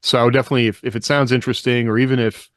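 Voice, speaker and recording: A man's voice: medium pitch, little pitch movement, very fast, dry recording, studio quality. A man co-hosting a podcast in casual chat, talking in a loose, rambling, conversational way. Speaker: podcast co-host.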